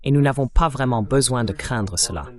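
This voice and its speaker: A man's voice: medium pitch, brisk. A man with a mid-range voice provides voice-over for a political interview. He delivers the translated questions and answers as spoken discussion. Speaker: French voice-over translating interview host and guest.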